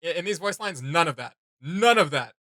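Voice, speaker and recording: A man's voice: fairly high pitch, lively intonation, fairly bright tone, no room sound, clean studio sound. A man giving casual, opinionated reaction commentary as a host, emphatic and informal, often circling back over his words. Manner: emphatic, halting and repetitive, informal.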